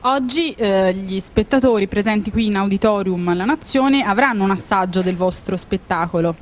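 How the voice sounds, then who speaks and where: Mid-pitched woman reporter asking questions. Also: normal speed, a little hiss or hum. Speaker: interviewer, reporter. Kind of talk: news feature interview questions. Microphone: handheld interview microphone.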